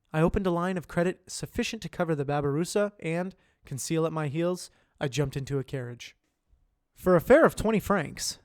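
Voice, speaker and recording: A man's voice: no room sound, clean studio sound. A man practicing voice acting, reading book passages in an audiobook narration style. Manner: shifts pitch and loudness between characters. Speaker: voice actor practicing reads.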